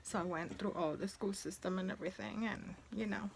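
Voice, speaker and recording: A woman's voice: mid-range voice, fairly even intonation, fairly quick, vocal fry, background noise. A woman in her forties, a native Spanish speaker, chatting casually in a rambling vlog about her sewing tools, with many restarts along the way. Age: 40s. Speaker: sewing vlogger.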